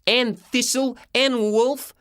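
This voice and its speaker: A man's voice: extremely high pitch, fairly even intonation, slow pace, a bit bright, clean tone, dry recording, clean studio sound, pitched above the performer's own voice, full character voice. A man voicing an earnest, slightly defensive young boy in a children's audiobook, speaking insistently. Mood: accusing.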